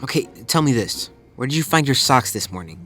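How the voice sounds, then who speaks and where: Male actor as teen boy, medium pitch, earnest, nagging, exasperated, audio drama. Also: big pitch swings, fairly quick, pushed and bright, faint music behind. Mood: insistent. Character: earnest, nagging teenage boy, annoyed stepbrother.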